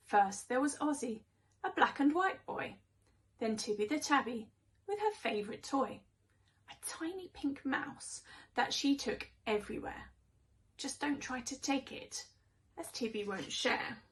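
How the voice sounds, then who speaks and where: A woman reading her own rhyming children's book in an expressive, measured storytelling manner. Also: fairly high pitch.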